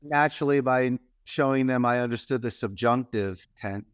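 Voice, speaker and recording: A man's voice: medium pitch, normal speed, clear, resonant tone, dry recording. Philly guy in his 50s, punchy, speechy way of talking, dry close sound. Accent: Philadelphia. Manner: emphatic, speechy delivery. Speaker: guest. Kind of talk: interview storytelling.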